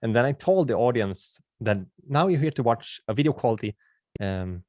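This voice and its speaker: A man's voice: mid-range voice, lively intonation, moderate pace, dark tone, no echo. A man from Sweden with a Swedish accent, hosting a webinar and chatting casually as he answers viewer questions, often restarting his sentences. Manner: friendly and chatty, with frequent restarts and filler words.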